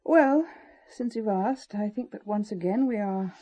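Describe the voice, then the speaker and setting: An actress playing a sharp-witted, anxious but plucky young wife in a spy thriller audio drama, articulate and gently scolding. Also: female voice, medium pitch, a little slow, lightly acted. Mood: worried.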